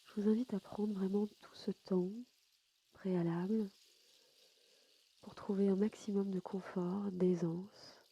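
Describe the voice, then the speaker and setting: Very soft, mellow, breathy woman guiding relaxation. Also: mid-range voice, little pitch movement, dull audio. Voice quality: soft, breathy, sometimes near a whisper. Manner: soothing, unhurried, softly spoken with pauses. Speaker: yoga nidra guide and podcast host. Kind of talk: guided sleep meditation narration.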